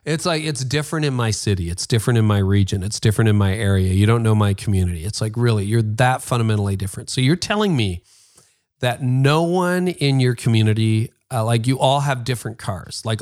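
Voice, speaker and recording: A man's voice: mid-range voice, fast. Emphatic Canadian man in his fifties. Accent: Toronto Canadian. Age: 50s. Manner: emphatic, animated, mock-quoting excuses with sarcasm. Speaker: podcast host being interviewed. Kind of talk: casual interview chat.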